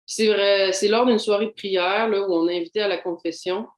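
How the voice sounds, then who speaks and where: A young adult woman with a Québécois accent gives a candid, reflective personal testimony, pausing often to find her words. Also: mid-range voice, steady tone, echoey room. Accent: Québécois French. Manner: candid, reflective, halting with frequent fillers. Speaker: doctoral student giving a faith testimony. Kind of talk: personal testimony in a video seminar.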